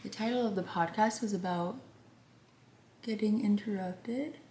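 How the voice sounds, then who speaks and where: A young woman with a soft, gentle voice, chatting casually through a makeup routine, rambling and sometimes whispering. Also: mid-range voice, measured pace. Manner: rambling, drops into whispers at times. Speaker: beauty vlogger. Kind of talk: soft chatty get-ready-with-me vlog. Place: home. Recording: echoey room with faint background noise.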